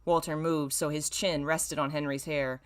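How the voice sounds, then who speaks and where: A woman's voice: low-pitched, level tone, normal speed. A woman in her thirties reading a story aloud like a streamer, with self-mocking, wry asides and theatrical emphasis. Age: 30s. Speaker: streamer reading own old fan fiction.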